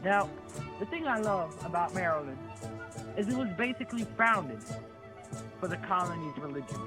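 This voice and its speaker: A man playing an earnest, over-emotional colonist preacher in an amateur skit, speaking in a halting, fervent way. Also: high-pitched, lively intonation, music in the background. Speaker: testimonial character. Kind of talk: amateur comedic skit testimonial.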